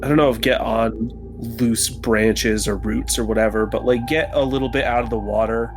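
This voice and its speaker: A man takes part in a tabletop game as a player. His talk is casual and jokey, full of informal slang. His voice sits at a middling pitch with clear music behind it. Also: steady tone, moderate pace. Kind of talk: casual, joking table chat.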